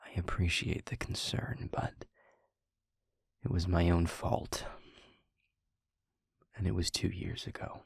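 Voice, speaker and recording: A man playing a weary, brooding but protective demon in an intimate audio roleplay, speaking in a husky half-whisper with halting, formal phrasing. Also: sits low, completely flat delivery, no echo, clean studio sound, exaggerated voice. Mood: protective, self-blaming. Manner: slow, halting, formal phrasing with heavy pauses. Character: weary, brooding male demon, gravely guarded yet protective.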